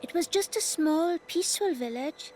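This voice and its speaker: A woman's voice: very high voice, animated, normal speed, a little mellow, faint music behind. A woman voicing a proud young village child in a video game cutscene. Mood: sorrowful.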